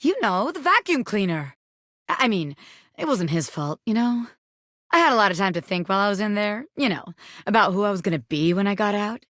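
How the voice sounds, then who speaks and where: A woman's voice: medium pitch, expressive, a little fast, fairly bright tone, no echo, clean studio sound, close to an everyday voice. A woman voicing a cocky, self-important bobby pin who fancies itself a crime boss in a video game, swaggering, slangy and boastful. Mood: boastful, scheming. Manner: swaggering, slangy, boastful, peppering talk with pet phrases. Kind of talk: video game character voice acting.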